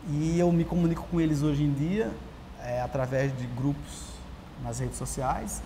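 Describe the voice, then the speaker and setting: A Brazilian man in his thirties, a writer and guest, talking in a casual interview with lively storytelling and many restarts, recorded on a lapel mic in a large empty auditorium. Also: fairly high pitch, moderate pace. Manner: animated storyteller, frequent restarts. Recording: long hall reverb, faint hiss.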